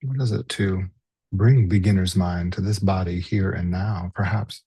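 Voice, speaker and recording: Soft low-voiced guy teaching a body scan, really breathy n husky, gentle, lots of pauses. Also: fairly even intonation, no echo. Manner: gentle, unhurried, pausing between short phrases. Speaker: mindfulness meditation teacher. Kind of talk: guided body scan meditation.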